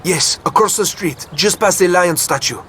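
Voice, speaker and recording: A man's voice: sits high, moderate pace, bright, forceful tone, a little hiss or hum. A man playing an anxious, urgent resistance lookout in a video game cutscene. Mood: alarmed, urgent.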